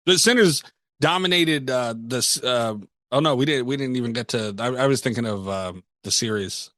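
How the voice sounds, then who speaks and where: A man's voice: mid-range voice, normal speed, a bit bright, dry recording, studio quality. A man co-hosting a podcast, chatting casually in a slangy, opinionated, sweary way. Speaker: podcast co-host. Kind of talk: casual chat.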